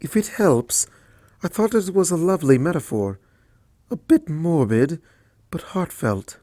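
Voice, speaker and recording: A man voicing a gentle, regal, motherly pony princess in a fanfic reading, calm, hushed and kindly. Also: fairly high pitch, unhurried, dry recording, voice pushed up.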